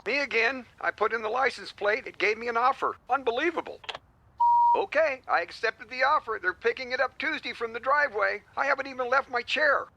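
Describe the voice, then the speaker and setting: A man acting as a delighted husband leaving voicemails in a radio ad. His voice sits very high and bright, with a creaky edge, and he rambles in folksy amazement. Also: fairly quick, no room sound, exaggerated voice. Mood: amazed.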